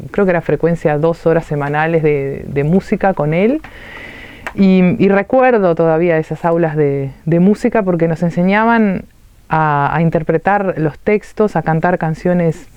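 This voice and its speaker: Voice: medium pitch, clear, resonant tone, dry recording, lo-fi sound. A musician from Uruguay, raised in Switzerland and first speaking Italian, fondly recalling school days in Rioplatense Spanish during an interview. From Uruguay, raised in Ticino, Switzerland. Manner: reminiscing, fond, with small restarts. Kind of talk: personal recollection in an interview.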